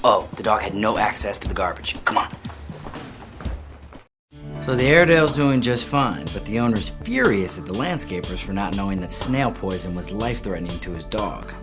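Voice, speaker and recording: An actor playing a brisk, businesslike animal hospital staffer who reports cases in clipped clinical terms. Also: male voice, lowish voice, expressive, natural voice. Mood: brisk, urgent. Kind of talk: acted video game cutscene. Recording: muffled game audio with music behind.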